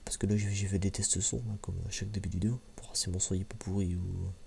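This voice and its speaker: A man speaking softly in a hushed, casual ASMR style, hesitant and halting, repeating himself, on a slightly muffled recording. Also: sits low, flat delivery, gravelly. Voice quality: soft, hushed voice, sometimes near whisper. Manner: hesitant, halting, frequent repeats and filler pauses. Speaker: ASMR video creator and host. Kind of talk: soft-spoken ASMR, casual unscripted review. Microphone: microphone held a little away from mouth. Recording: slightly muffled mic with background noise.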